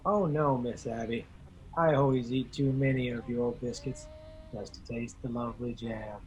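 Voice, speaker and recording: A man acting in a radio play as a prim, mild-mannered reverend, polite and stuffy, with a husky voice. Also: medium pitch, fairly even intonation, moderate pace, lightly acted, low-quality recording.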